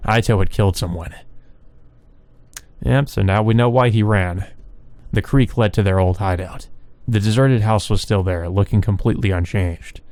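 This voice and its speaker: A man with a lowish voice narrates a visual novel. He reads the story text aloud as a let's player. The sound is dry, without room echo. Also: moderate pace, a little mellow.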